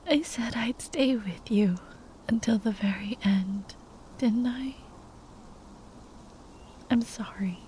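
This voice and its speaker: A woman playing a tender, grieving spellcaster lover in an ASMR roleplay, speaking in a breathy, halting whisper. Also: a little high, warm tone, slight background noise. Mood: tender, sad. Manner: halting, tender, sighing delivery.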